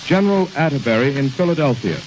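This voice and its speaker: A man's voice: medium pitch, lively intonation. Male 1920s radio announcer, formal and stately, heard through heavy hiss and crackle. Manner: deliberate, stately.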